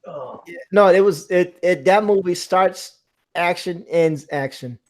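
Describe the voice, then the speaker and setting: Man, Puerto Rican accent, fairly high voice, rambling, casual livestream co-host. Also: unhurried. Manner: rambling, frequent restarts. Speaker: co-host reading chat. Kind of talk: casual group chat on a livestream.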